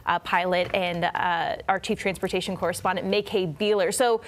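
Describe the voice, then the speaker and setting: A woman's voice: on the low side, steady tone, normal speed. American woman anchoring a news interview.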